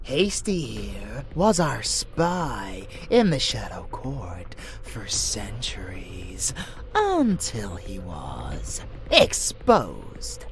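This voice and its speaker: A man's voice: lowish voice, wildly swooping pitch, drawn-out words, a little hiss or hum, heavily acted. A man voicing a rebellious, cocky vampire lover in an ASMR roleplay, with a casual, teasing, intimate manner. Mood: sly. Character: cocky young-sounding rebellious male vampire lover, unhinged. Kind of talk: ASMR roleplay audio drama.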